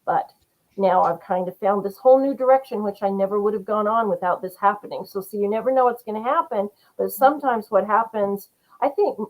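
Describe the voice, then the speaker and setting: A woman from Rhode Island talks earnestly about her path as an author, reflective and steady. She fills pauses with soft murmurs of agreement. Her voice sits at an ordinary middle pitch for a woman. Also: rough recording. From Rhode Island, USA. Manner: earnest, reflective, frequent mm-hmms. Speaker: author guest. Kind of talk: casual interview chat.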